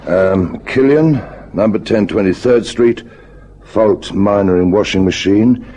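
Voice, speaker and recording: An actor playing a helpful but hesitant company employee in a vintage radio drama, giving clipped answers. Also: male voice, lowish voice, unhurried, warm tone, low-quality recording. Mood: helpful, searching memory. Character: hesitant, helpful male repair firm employee.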